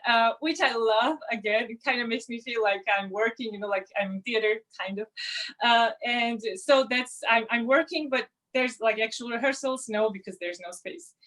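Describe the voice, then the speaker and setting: Young woman, slightly high voice, chatty guest on a video call, lots of fillers. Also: fairly bright tone. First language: Georgian. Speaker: ballerina guest. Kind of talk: online interview.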